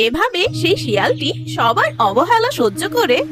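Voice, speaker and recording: High, lilting woman storyteller. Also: sing-song, moderate pace. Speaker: narrator. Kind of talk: scripted children's story narration.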